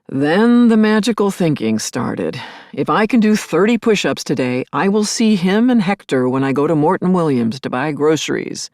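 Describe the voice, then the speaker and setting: An actress playing a grieving, lovelorn middle-aged therapist in an intimate audio play monologue, confiding and wry, lingering on words and dropping to murmurs. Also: female voice, low voice, creaky, darkish tone, no echo, clean studio sound, heavily acted. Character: grieving, lovelorn woman therapist, self-aware and yearning. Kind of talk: intimate first-person dramatic monologue.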